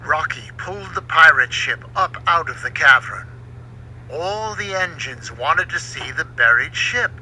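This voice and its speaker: A man narrates a children's storybook in a very bright, forceful voice. His delivery is unhurried and dramatic, with big swings in pitch. There is faint noise behind him in what sounds like a home recording. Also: rough recording. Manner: expressive, dramatic read-aloud delivery. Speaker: book narrator.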